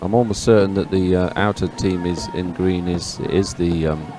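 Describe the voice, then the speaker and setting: Male live sports commentator, lowish register, unscripted and a bit halting, prominent music under the voice. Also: steady tone. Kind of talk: live race commentary and chat. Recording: music behind the voice.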